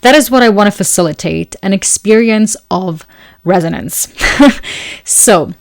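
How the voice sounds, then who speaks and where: A woman's voice: mid-range voice, lively intonation, a little slow. A woman from Switzerland hosting her astrology channel, giving a chatty, earnest weekly overview with frequent "um" and "like". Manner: chatty and earnest, laughs often, fillers like "like" and "um".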